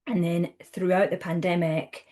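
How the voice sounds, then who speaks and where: A Scottish woman in her thirties speaks as a podcast guest, her voice sitting on the low side. She talks in a chatty, lively way, restarting phrases and acting out what others said. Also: a little slow. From Scotland. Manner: chatty, animated, with restarts and acted-out quotes. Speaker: guest counsellor. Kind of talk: conversational podcast interview.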